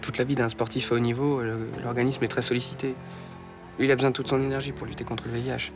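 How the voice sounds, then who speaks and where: An earnest young man, as an actor plays him reasons fast and steadily at a middle pitch. His manner is patient and persuasive. Loud music plays behind the TV scene. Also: little pitch movement, close to an everyday voice. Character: calm young man defending a friend. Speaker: Rudy. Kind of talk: soap opera acting.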